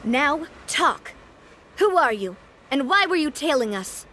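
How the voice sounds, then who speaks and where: A woman's voice: high-pitched, big pitch swings, a little slow, sharp tone, a little hiss or hum, full character voice. An actress playing a confident, poised young woman who leads a syndicate in a video game. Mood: stern. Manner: poised, assertive. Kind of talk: video game character acting.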